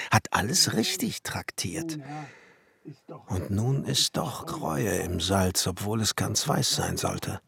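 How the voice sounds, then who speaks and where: An actor playing a pensive, exacting alchemist and master glassmaker in a literary radio play, speaking in a measured, musing way. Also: male voice, lowish voice, full character voice. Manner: measured, musing, at times hushed and breathy. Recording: music behind the voice. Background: music.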